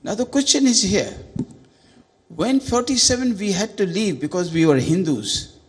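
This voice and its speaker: A man's voice: sits high, expressive, moderate pace. A man in his eighties from Sindh, asking an earnest, emphatic question from the audience at a conference, speaking into a handheld microphone in a large hall. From Sindh, Pakistan. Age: 80s. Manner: earnest, emphatic, voice rising on key points. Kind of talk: public Q&A question.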